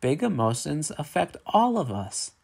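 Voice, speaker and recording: Man reading a picture book, creaky voice. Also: on the high side, big pitch swings, a little slow, darkish tone. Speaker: reader of a children's picture book. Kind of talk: read-aloud storytelling.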